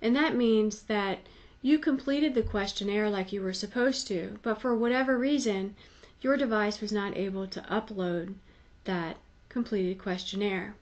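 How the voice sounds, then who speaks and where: A woman with a medium-pitched voice presents a software tutorial. She explains each step in plain, conversational language. Her manner is instructive and informal, like a trainer walking a user through an app. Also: measured pace, few pauses, muffled recording.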